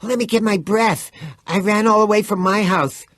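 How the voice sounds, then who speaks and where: A man voicing an excitable, breathless teenage boy in an audiobook reading. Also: high voice, normal speed, no room sound, clean studio sound, voice pushed up. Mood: excited.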